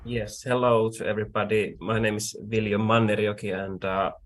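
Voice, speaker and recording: A man with a Finnish accent explaining things deliberately and haltingly, like an online lecturer. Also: lowish voice, level tone. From Finland. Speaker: accordion artisan, presenter.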